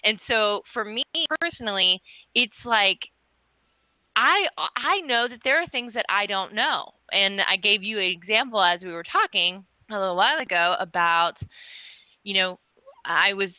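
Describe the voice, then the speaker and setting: A woman's voice: mid-range voice, animated, normal speed, dry recording, phone-quality sound. A woman hosting an interview, a former hypnotist who rambles and searches for her words with many restarts. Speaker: podcast host and former hypnotist. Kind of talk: casual interview chat.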